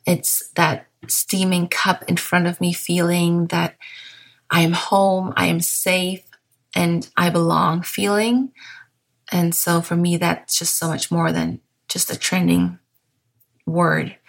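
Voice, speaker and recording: A woman in her thirties from southern Germany hosts a calm podcast monologue in English, with a German accent. Her voice sits a little low, with a gravelly fry at the ends of phrases. She speaks softly and unhurriedly, with small restarts. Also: slow pace. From Bavaria, southern Germany. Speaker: podcast host.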